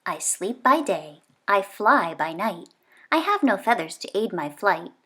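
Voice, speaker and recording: A woman reading a children's nonfiction book aloud, expressively and with clear phrasing for young listeners. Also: mid-range voice, very animated, unhurried, sharp tone.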